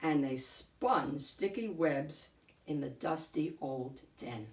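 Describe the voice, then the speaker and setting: Woman reading a kids book, lowish voice, very expressive, bit far from the mic. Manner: deliberate, theatrical storytelling. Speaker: host of children's book read-aloud. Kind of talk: expressive picture-book narration. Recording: echoey room, off the mic.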